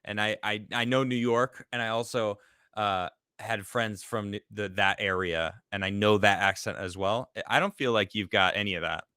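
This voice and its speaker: Laughing talk show host, dry sound. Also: male voice, on the low side, moderate pace, clean tone, no echo. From Chicago, United States. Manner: laughs often, reads viewer comments aloud in a lively voice. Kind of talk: casual live-stream chat.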